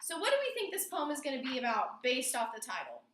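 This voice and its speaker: A woman's voice: high voice, huge pitch swings, fast, a bit bright, a little hiss or hum. A woman teacher leading a classroom lesson and reading poetry aloud, instructing and asking prompting questions in an indoor room. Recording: roomy, echoing classroom sound.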